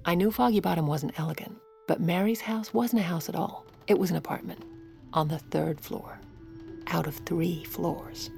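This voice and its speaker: A blunt, low-voiced president's wife. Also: female voice, moderate pace, creaky, a little mellow, soft background music. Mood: reflective, wry. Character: sharp, brisk, resolute president's wife narrating her story. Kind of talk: dramatic first-person narration and dialogue.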